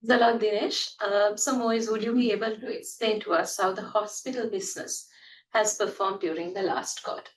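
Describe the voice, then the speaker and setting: A woman's voice: medium pitch, fairly even intonation, moderate pace, distant mic, a little hiss or hum, rough recording. A woman moderating an earnings call, asking polite prepared questions.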